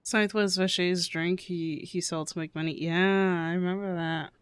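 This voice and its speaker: A woman's voice: lowish voice, fairly even intonation, normal speed, clean tone, no room sound. Woman reacting to a game stream. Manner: reactive, swears in surprise. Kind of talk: casual game reaction commentary.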